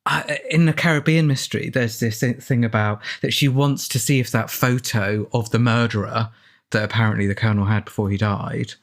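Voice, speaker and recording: A man's voice: medium pitch, fairly even intonation, moderate pace, vocal fry. Man in rambling, casual film commentary. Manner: hesitant, rambling, self-interrupting. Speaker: author and film commentary guest.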